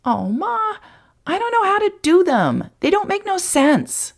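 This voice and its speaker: A woman voicing an excitable young boy who whines and begs, high and pleading. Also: unhurried, vocal fry, heavily acted. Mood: eager whiny.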